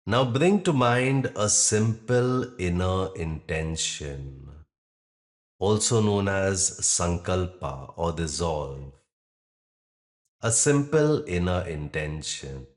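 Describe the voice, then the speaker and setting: Deep-voiced, very slow male meditation guide. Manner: calm, measured, with long pauses between short phrases. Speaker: yoga nidra meditation guide.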